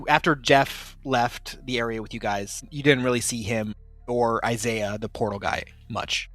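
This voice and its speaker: A man's voice: mid-range voice, steady tone, normal speed, fairly bright tone, no echo, faint music behind. Man running a tabletop game, dry sound. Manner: explains at length with many fillers and restarts. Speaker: game master. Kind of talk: casual tabletop game narration.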